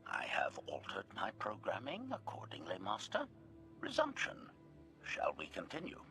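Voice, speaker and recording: An actor playing a servile combat droid in a video game, speaking in formal, clipped announcements with a robotic filter and cool menace. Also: male voice, on the low side, wildly swooping pitch, moderate pace, music in the background. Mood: formal, obedient. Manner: announces each statement type, formal and clipped. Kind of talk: video game character acting.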